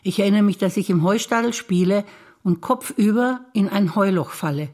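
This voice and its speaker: A woman, a stage actor, reciting childhood memories in a theatre performance in a plain, even way. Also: medium pitch, moderate pace.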